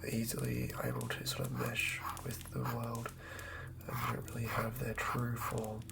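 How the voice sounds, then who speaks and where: A man giving a whispered, improvised ASMR narration as a game master, close to the mic, murmuring in a halting way as he thinks aloud. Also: mid-range voice, completely flat delivery, gravelly, background noise.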